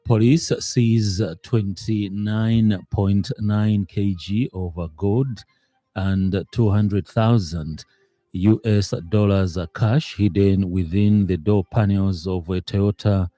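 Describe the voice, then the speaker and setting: A man with a Zambian accent reading a scripted radio news bulletin in a formal, somewhat halting way. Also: lowish voice, steady tone, mellow, no room sound, soft background music. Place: radio studio.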